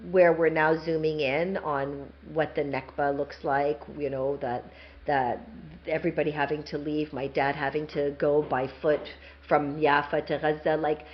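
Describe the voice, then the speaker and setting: Woman, middle-aged, low voice, interview guest, animated storyteller, dull lo-fi audio. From Palestinian, grew up in Saudi Arabia. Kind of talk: emotional personal interview talk. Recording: dull lo-fi sound.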